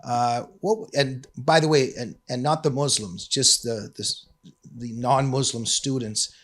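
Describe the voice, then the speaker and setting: A man hosting a talk show, speaking emphatically in deliberate, drawn-out phrases. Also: mid-range voice, steady tone, a bit bright. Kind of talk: scripted intro and interview talk.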